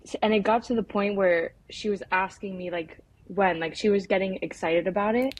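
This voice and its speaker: A young woman talks casually as a filmmaker being interviewed. Her delivery is informal and halting, with frequent filler words. Her pitch is average for a woman. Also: steady tone. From United States. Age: young adult. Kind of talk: casual conversational interview answers.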